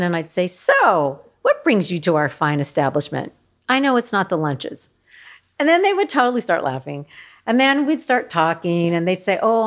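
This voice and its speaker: A woman who works as a hospital chaplain, telling candid stories in an interview with a joking, animated manner. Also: on the low side. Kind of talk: storytelling interview.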